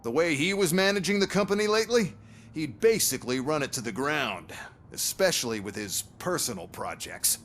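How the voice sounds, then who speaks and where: Smug, high-pitched male corporate executive. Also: lively intonation, moderate pace, a bit bright, a little hiss or hum. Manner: sneering, boastful, mocking. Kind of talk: video game voice acting.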